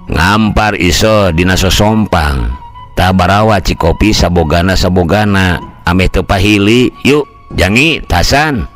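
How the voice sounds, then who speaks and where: A man's voice: low voice, fairly bright tone, faint music behind, voice pushed down. A man voicing a pious old village uncle who explains things patiently and reflectively in a Sundanese storytelling recording. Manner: slow, sage-like explaining with proverbs.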